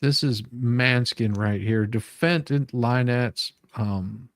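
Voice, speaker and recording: A man with an average-pitched voice hosts a casual commentary. He reads from documents and breaks them down bluntly. The sound is dry, with little room on it. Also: slow pace, dry recording. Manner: blunt, mildly profane, explanatory. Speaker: online show host. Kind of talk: casual live commentary, reading documents aloud.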